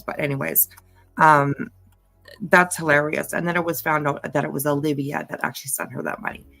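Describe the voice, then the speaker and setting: Low-voiced woman, halting casual chat. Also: gravelly, fairly bright tone, no room sound. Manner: halting, many ums and restarts. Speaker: channel host.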